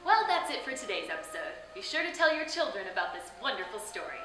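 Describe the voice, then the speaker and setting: A woman's voice: fairly high pitch, very animated, fast, background noise. A woman hosting with scripted comic narration in a theatrical, tongue-in-cheek manner. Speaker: host and storyteller. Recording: roomy echo, faint music behind.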